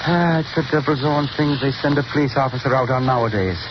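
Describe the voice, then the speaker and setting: A man's voice: medium pitch, quick pace, natural voice. A man playing an Irish-brogue police lieutenant. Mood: gruff. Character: chatty Irish beat cop, man. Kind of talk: 1930s radio drama acting. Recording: dull old radio broadcast with hiss.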